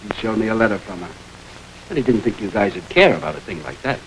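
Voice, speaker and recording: An actor playing a breezy, wisecracking, sardonic ex-convict gangster in an old-time radio drama. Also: male voice, on the low side, animated, fast talker, natural voice. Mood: sly. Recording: noisy vintage radio recording.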